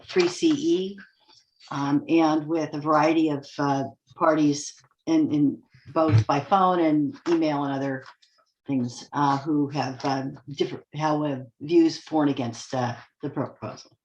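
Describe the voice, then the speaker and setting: A woman city councilmember speaking in a halting way, with many fillers. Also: low-pitched, steady tone, reverberant, muffled recording.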